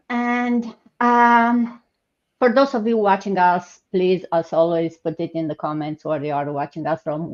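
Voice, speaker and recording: A woman hosts a live online business panel in a relaxed, chatty way. Her voice sits fairly low. The video call audio is muffled and lo-fi. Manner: relaxed, chatty, with filler words. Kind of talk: casual presenting. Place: home, in Ontario.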